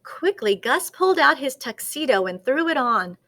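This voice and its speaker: A woman reading a children's picture book aloud as a storyteller, with dramatic, deliberate expression. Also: high-pitched, very animated, fairly bright tone.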